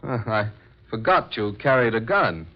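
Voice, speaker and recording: A man's voice: mid-range voice, big pitch swings, unhurried. An actor playing an indignant wronged husband who defies his rival, formal and melodramatic, in 1940s radio horror acting. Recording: muffled vintage 1940s radio recording.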